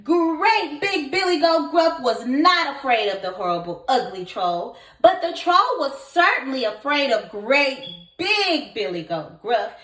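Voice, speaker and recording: Kindergarten teacher lady doing storytime, highish swoopy voice, encouraging, echoey room. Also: measured pace, few pauses. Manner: expressive, encouraging. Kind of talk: children's read-aloud with questions to listeners.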